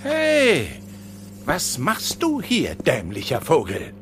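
An actor playing a gloating, irritable diamond thief in a children's audio drama, theatrical and villainous. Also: male voice, on the high side, huge pitch swings, slow pace, music in the background, full character voice. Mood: irritated.

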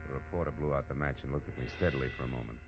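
A man's voice: rumbling low, rapid-fire. A man playing a wry, tough American private detective in a 1940s-style radio drama, wisecracking as he narrates his case. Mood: wry narration. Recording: dull old radio recording with music.

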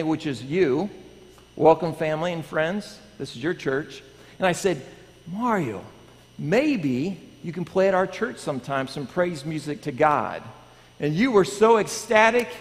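A man in his thirties, a pastor, preaching in a lively, animated way and questioning his congregation. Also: a little high. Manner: animated, pacing the stage, asking the congregation. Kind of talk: lively sermon with prayer. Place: large church sanctuary. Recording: long church hall reverb.

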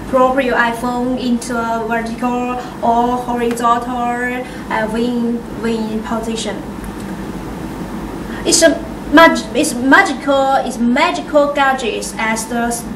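A woman with a fairly high voice presents a product demo in halting, non-native phrasing. The recording is roomy and somewhat distant from the mic, with clear background noise. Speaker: product demonstrator.

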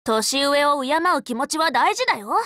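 Anime game role: teenage magical girl, loyal tomboy leader, bright forceful tone, very lively intonation, dry close studio sound, frank and casual. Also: sits high, moderate pace, no echo. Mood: enthusiastic. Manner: casual, boyish, spirited, frank speech. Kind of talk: anime game character voice lines.

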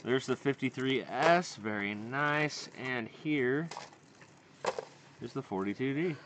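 A man's voice: mid-range voice, expressive, takes it slowly, a bit bright, slight background noise. A man hosting a casual family coin hunt outdoors, keeping score and explaining finds in an encouraging way. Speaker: coin hobby host. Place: outdoors at a campsite table.

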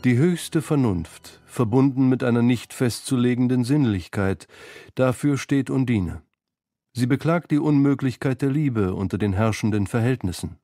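Man, documentary narration, scripted, mellow timbre, close and dry. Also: mid-range voice, normal speed, no room sound, faint music behind. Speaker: narrator of a literary feature. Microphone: close studio microphone.

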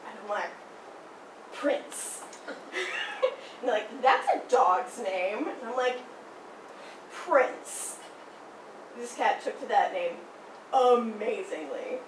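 A young woman telling a personal story in a casual, animated way, acting out the people she quotes. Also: on the high side, takes it slowly, long pauses. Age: young adult. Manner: animated, acts out quoted voices. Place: small indoor room by a theatre poster. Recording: roomy, distant, noisy.

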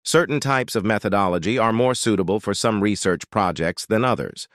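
The low-voiced man on a language exam practice track, reading out a model passage in a dry, echo-free booth. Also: a little fast, a bit bright. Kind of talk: scripted read-aloud of practice passages.

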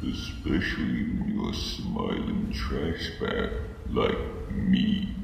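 A laid-back skeleton from a comic dub, heavily gravelled and deadpan. The delivery swings lazily up and down through puns and guarded remarks, muffled and drenched in cavernous echo. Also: male voice, takes it slowly, heavily acted. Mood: laid-back, joking. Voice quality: very deep, gravelly. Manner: lazy, deadpan joking. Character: laid-back, pun-loving male skeleton with guarded weariness. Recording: muffled, cavernous reverb over background music.

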